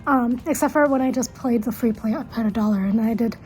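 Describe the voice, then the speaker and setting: A woman's voice: on the high side, fast talker, a little mellow, low-quality recording. A young woman with a congested, stuffy voice, chatting casually as a travel vlogger, rambling and correcting herself as she goes. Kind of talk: casual vlog narration. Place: hotel and casino in Las Vegas.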